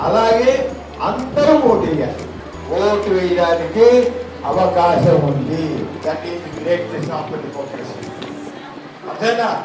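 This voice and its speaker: A man giving an emphatic awareness speech to students, repeating points and questioning listeners. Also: sits high. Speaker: public speaker addressing students. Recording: echoey, distant mic, music over it. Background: music.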